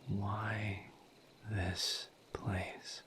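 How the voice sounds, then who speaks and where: Casting: male actor as gentle misery demon for audio roleplay; low, breathy whisper, extremely slow and soothing. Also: little pitch movement, background noise. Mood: gentle, consoling. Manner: slow, halting, soothing, with long pauses.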